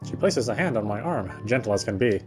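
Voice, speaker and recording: A man's voice: lowish voice, lively intonation, a little fast, a little mellow. A man playing a visual novel and reading its narration aloud as he goes. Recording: game music behind the voice.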